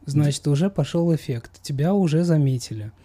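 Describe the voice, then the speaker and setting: A man's voice: on the high side, animated, a little fast, warm tone. A man speaking as a psychologist guest in a discussion, coaching assertively with emphatic repetition. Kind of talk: conversational expert discussion.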